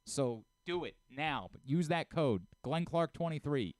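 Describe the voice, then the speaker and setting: A man's voice: a little high, lively intonation, measured pace, dry recording. An American man hosting a casual sports talk radio show, chatting and reading ads. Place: radio studio.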